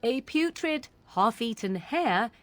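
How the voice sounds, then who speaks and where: A woman's voice: on the high side, animated, slow. A woman voicing a haughty, scornful young witch in a video game, disdainful and biting in a formal way. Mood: disgusted.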